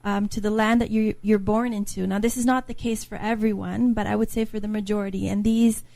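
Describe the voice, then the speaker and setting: A woman's voice: mid-range voice, flat delivery, a little fast, vocal fry, warm tone, dry recording. A woman from Slovakia, a guest author, earnestly explaining her points in a conversational interview and building them up as lists.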